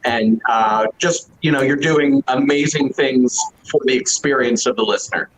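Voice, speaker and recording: A man's voice: mid-range voice, moderate pace, fairly bright tone, a little hiss or hum, low-quality recording. A man moderating a casual podcast Q&A and reading fan questions, upbeat and earnest with fillers, over a video call. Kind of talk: casual Q&A chat. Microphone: remote mic over a video call.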